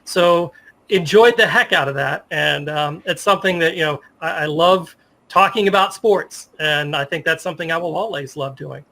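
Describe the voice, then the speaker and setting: A man's voice: fairly high pitch, normal speed, gravelly, pushed and bright, low-quality recording. An American man in his fifties telling stories in a casual interview, with an enthusiastic, reminiscing manner. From Virginia, United States. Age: 50s. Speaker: interview guest, sports media professional.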